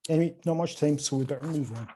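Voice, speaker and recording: A Scottish man presents at a conference over a video call, his voice mellow and soft-edged. He talks with frequent filler sounds and restarts, and his level rises and drops through each phrase. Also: fairly high pitch, rapid-fire, a little hiss or hum. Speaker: conference speaker from a quantum computing company.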